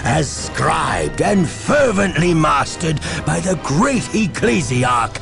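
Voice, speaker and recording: Slow, dramatically swooping zealous priest. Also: male voice, fairly high pitch, very animated, vocal fry, full character voice. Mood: grave, proud. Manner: grandiose, ceremonial, precise diction. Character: solemn, zealous male priest of a sci-fi holy order. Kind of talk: theatrical video game voice acting. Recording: music behind the voice. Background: game music.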